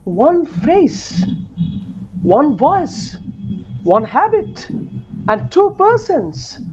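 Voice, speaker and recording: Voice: sits high, wildly swooping pitch, extremely slow, a little mellow, heavily acted. A performer playing a lordly, passionate duke in love, in a dramatic Shakespeare reading. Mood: amazed, ardent. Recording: dull lo-fi audio under guitar music.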